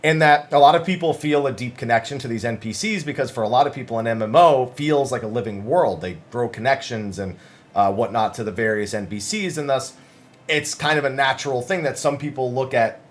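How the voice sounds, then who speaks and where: A man's voice: medium pitch, a little fast. A man giving casual, conversational commentary as the host of a gaming news channel, summarizing interviews with emphasis.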